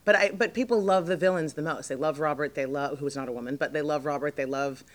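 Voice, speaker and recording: A middle-aged woman with a low voice, jet-lagged, chatting in an interview as the guest actress, rambling with lots of fillers and restarts. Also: quick pace. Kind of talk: casual interview chat.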